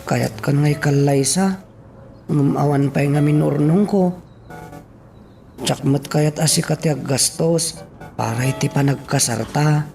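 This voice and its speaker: A man playing a gentle, devoted young suitor in an Ilocano radio drama, with a hesitant, solicitous way of talking. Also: a little high, slow pace, vocal fry, long pauses, soft background music. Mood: tender, humble.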